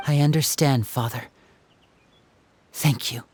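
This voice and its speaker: A man's voice: medium pitch, fairly even intonation, takes it slowly, slight background noise, lightly acted. An actor playing an earnest, respectful young man, humble yet resolute, in a dramatic video game. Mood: earnest resolve. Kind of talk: dramatic scripted game voice acting. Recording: music behind the voice.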